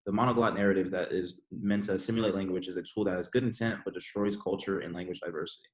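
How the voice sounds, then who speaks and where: Deep, fast-talking male podcast host. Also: steady tone, roomy sound. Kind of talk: scripted panel podcast.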